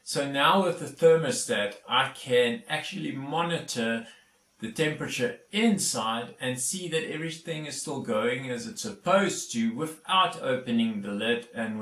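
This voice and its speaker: A man's voice: mid-range voice, fairly bright tone, roomy sound, distant mic. A South African man whose first language is Afrikaans, hosting a casual DIY vlog and explaining each step with plenty of fillers. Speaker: YouTube DIY host.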